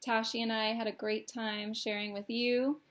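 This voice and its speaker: A woman's voice: on the high side, little pitch movement, normal speed. A woman hosting a children's storytime, reading aloud expressively in simple phrases for young listeners.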